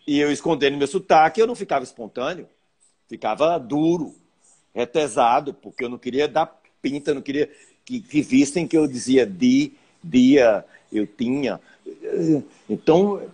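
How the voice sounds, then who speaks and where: An older man from Paraíba with a Northeastern Brazilian accent, telling his career story in an unhurried, reflective way as an interview guest. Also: mid-range voice, a little mellow, breathy edge. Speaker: guest actor.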